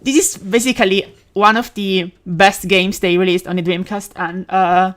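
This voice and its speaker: A woman's voice: mid-range voice, steady tone, fairly bright tone. Woman chatting on a game stream. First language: Italian. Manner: rambling, with many fillers, restarts and quick laughs. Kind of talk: casual live-stream chat while gaming.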